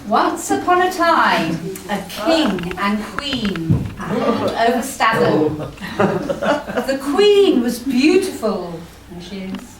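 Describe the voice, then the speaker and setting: A woman's voice: fairly high pitch, big pitch swings, slow pace, a crowd in the background. A woman narrating an amateur stage pantomime live, reading the story theatrically with informal ad-libs. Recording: echoey, noisy hall from far off.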